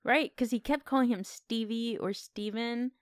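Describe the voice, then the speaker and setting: Very smooth, clear-voiced woman co-host. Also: fairly high pitch, moderate pace, no room sound, studio quality. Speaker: podcast co-host. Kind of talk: casual film discussion.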